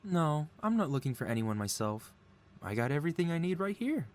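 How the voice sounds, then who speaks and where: A man's voice: fairly high pitch, fairly quick. A man acting a doting, possessive supervillain scientist. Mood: soothing, reassuring. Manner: soothing, coaxing, flattering, feigned innocence. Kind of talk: ASMR audio roleplay, intimate one-sided dialogue.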